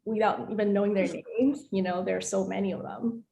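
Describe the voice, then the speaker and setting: A woman's voice: mid-range voice, fairly even intonation, fairly quick, gravelly, dark tone, a little hiss or hum. Effusive woman in spiritual conversation. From Taiwan. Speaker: host of a spiritual conversation series.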